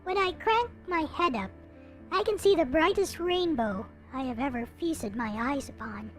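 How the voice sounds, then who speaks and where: Female narrator, high and animated voice, dramatic visual novel read-aloud with expressive delivery, music underneath. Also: normal speed. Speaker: video creator reading a visual novel aloud. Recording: music playing behind the voice. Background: visual novel soundtrack music.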